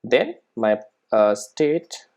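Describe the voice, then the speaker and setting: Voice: medium pitch, lively intonation, extremely slow, creaky, darkish tone. A programming instructor giving a casual step-by-step screen-recorded tutorial, often checking in with "right?" and "okay".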